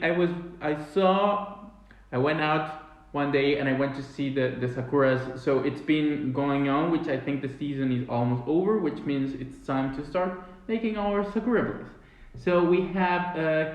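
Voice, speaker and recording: Guy in his 30s chatting about tea on a livestream, fairly high voice, super echoey room. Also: lo-fi sound. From Chile. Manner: animated, gestures a lot, many restarts. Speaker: tea company host. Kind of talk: live-streamed tea tasting chat. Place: indoor room at a table. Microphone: webcam or phone at table distance.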